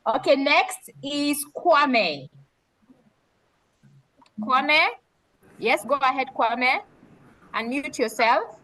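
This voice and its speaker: High-voiced woman moderating a video call. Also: animated, very slow, long pauses, fairly bright tone, rough recording. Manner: prompting and repeating participants' words. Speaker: moderator of an online summit. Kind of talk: hosting a video call, calling on participants.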